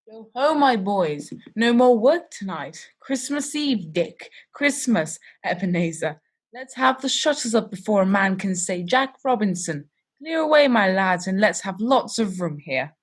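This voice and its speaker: Woman as jolly old gentleman, husky, booming calls, hearty, merry, radio drama. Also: big pitch swings, unhurried. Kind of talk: radio play acting.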